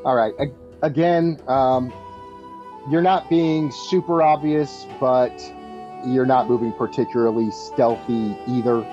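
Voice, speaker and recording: A man running a tabletop roleplaying game as game master, casual and conversational, with some halting phrasing. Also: medium pitch, steady tone. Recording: dull, muffled audio with music behind. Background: background music.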